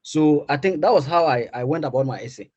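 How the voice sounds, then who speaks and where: Husky man with a higher voice. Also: lively intonation, fairly quick, darkish tone. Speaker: panelist. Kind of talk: online panel talk.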